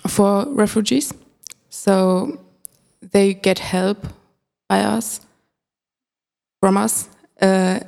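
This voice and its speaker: A sixteen-year-old woman from Germany, speaking haltingly in a casual discussion as she searches for words. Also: medium pitch, steady tone, gravelly, darkish tone. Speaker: podcast guest.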